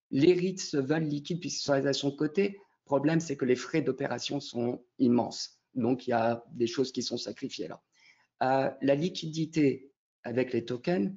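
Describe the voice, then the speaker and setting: A man's voice: a little high, creaky, darkish tone. Man explaining, slightly muffled audio. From Quebec, Canada. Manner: didactic, poses questions then answers them. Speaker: interview guest, real estate tokenisation company representative. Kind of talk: explanatory interview talk.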